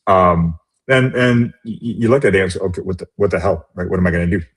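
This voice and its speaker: A middle-aged man, born in Romania and raised in the US, speaking as an interview guest in a reflective, halting way with many restarts. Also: deep voice, steady tone, slightly rough. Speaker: guest, business executive. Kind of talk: casual interview conversation.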